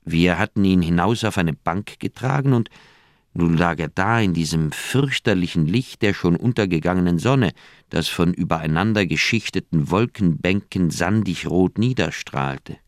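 An actor playing a grave older doctor in a German radio play, recounting a haunting memory in measured, literary phrases with dramatic pauses. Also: male voice, low-pitched, no room sound, studio quality. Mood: grim, intense.

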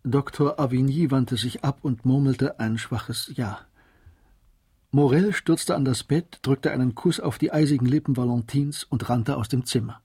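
A man narrating a literary radio drama in German, in a scripted storytelling style with a soft-edged voice. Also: medium pitch, moderate pace, slightly rough.

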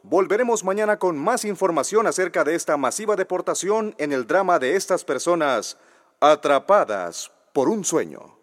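A man's voice: a little high, animated, moderate pace, no echo, clean studio sound, close to an everyday voice. A man acting a vain, callous TV news reporter in a radio drama, bossy, glib and pompous. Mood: pompous, dramatic.

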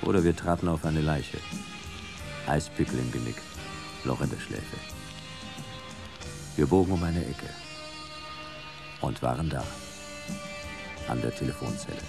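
Very deep, gravelly noir detective narrator. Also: male voice, a little slow, pauses often, over music, heavily acted. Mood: terse. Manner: laconic, deadpan. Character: hardboiled noir private detective narrating his case. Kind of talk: scripted radio play narration.

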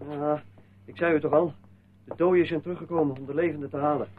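An actor playing a nervous, superstitious small-time thief in a radio play, mouthy and mock-polite, on an old recording. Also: male voice, fairly high pitch, fairly even intonation, fast talker, natural voice. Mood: agitated. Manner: sardonic, colloquial, mock-polite. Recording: tinny narrow-band old radio recording, noisy.

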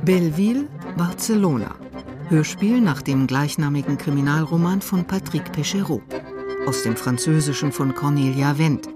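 An announcer reads radio play credits in a scripted style. The voice is mid-pitched and clearly backed by music. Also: lively intonation, moderate pace.

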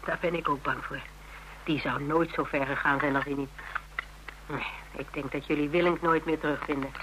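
A man's voice: on the high side, steady tone, normal speed, fairly bright tone, lightly acted. A man acting a shaken but wry survivor of a killer, colloquial and downplaying danger, in a radio crime drama. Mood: resigned. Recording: noisy radio drama recording.

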